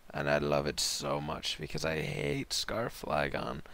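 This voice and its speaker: A young man with a hoarse, worn voice giving casual, rambling, slangy commentary on an online game battle. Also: extremely low pitch, unhurried, bright, forceful tone. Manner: rambling, slangy, laid-back. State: hoarse, voice partly lost from concert the night before.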